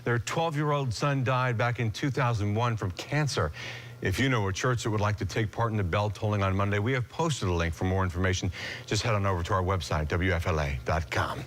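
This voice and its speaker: A man's voice: lowish voice, a little fast, a bit bright, slight background noise. An American man working as a morning news anchor, moving between scripted news reading and casual banter.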